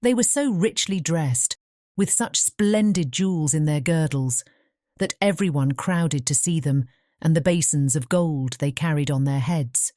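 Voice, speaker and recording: Mellow, low-pitched woman narrating a fairy tale. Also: measured pace, dry recording, clean studio sound. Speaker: audiobook narrator.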